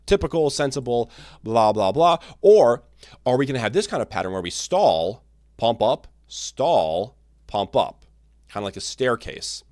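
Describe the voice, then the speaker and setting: A man hosts his own market commentary show, his voice a touch raspy from a long day of talking. His delivery is energetic and lively, with pitch swinging widely as he pushes through his points. The sound is dry and close, with little room around it. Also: dry recording. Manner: energetic, animated, restarts and fillers. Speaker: crypto channel host. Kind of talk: casual market commentary to camera.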